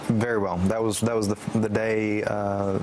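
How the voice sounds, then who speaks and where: A man's voice: on the low side, flat delivery, background noise. A man recounting his own story as an interview guest, stammering and restarting as he goes. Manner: halting, stammering delivery. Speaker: interview guest, former political aide.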